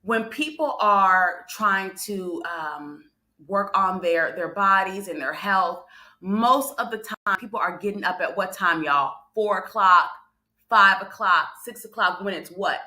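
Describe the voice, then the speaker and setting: A woman's voice: medium pitch, measured pace, sharp tone. A woman giving a motivational sermon in numbered points, emphatic and repeating phrases for stress, with conversational asides to her listeners. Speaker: motivational speaker and preacher on a morning show. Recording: roomy, echoing livestream sound.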